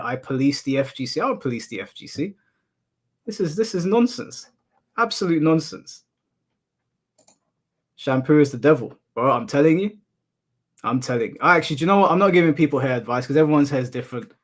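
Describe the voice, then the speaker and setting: A man from the UK with a monotone voice, casually chatting and answering his livestream chat, laughing off insults. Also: mid-range voice. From United Kingdom. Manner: relaxed, laughs off insults, addresses chat as bro. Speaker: streamer. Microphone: headphones in, desk mic.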